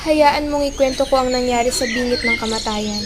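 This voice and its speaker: A woman playing a gentle, long-suffering young princess in an amateur radio drama, speaking formally. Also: on the high side, a little fast, very noisy, natural voice. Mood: sorrowful recounting.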